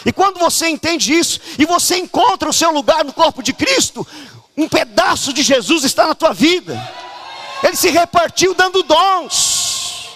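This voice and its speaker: Fervent high-voiced Brazilian preacher. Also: male voice, lively intonation, normal speed. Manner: fervent, often shouting, urging the congregation. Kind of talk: charismatic sermon. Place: church conference hall.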